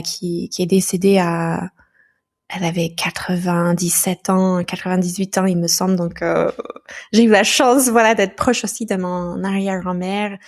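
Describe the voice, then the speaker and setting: A woman from the United States speaks as a guest on a podcast, in a mid-range voice. She talks in a casual, thoughtful way, pausing and restarting her sentences as she works through her thoughts. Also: mid-range voice, a little mellow. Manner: thoughtful, halting, with frequent restarts. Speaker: podcast guest, founder of a training company.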